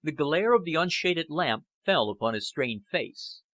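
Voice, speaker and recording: A man's voice: fairly high pitch, lively intonation, unhurried, a bit bright, no room sound. A man reading a dramatic novel aloud as an audiobook narrator.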